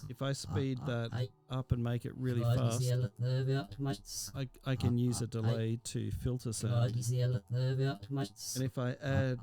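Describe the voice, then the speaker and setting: A man giving an informal, hands-on software tutorial, thinking aloud as he works through each step. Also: mid-range voice, little pitch movement, unhurried, creaky, pauses often. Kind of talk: casual hands-on screen tutorial.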